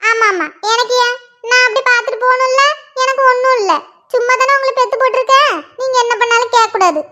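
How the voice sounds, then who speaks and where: A performer doing a shrill scolding village mother. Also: steady tone, fast talker, sharp tone. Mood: mocking. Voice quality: shrill, nagging. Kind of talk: one-person comedy skit. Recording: dull lo-fi recording.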